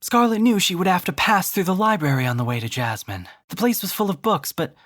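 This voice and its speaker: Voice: mid-range voice, animated, fairly quick, fairly bright tone. A narrator reading scripted, descriptive prose for an audio drama. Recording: music behind the narration.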